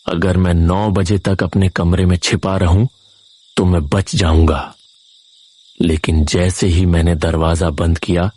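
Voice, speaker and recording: A man with a low, husky voice tells a first-person horror story. He reads slowly and with suspense, as a dramatic scripted narrator. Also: darkish tone.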